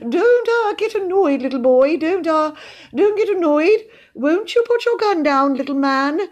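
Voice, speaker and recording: Pompous gentleman, high-pitched, swooping, stammering, nervous and indignant, storybook character. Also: animated, a little slow. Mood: nervously soothing. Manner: stammering, spluttering, placating. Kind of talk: audiobook character voice.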